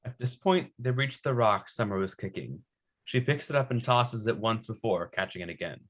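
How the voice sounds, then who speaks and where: Bright, lowish-voiced man reading stage directions. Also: lively intonation, moderate pace. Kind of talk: script narration at a table read.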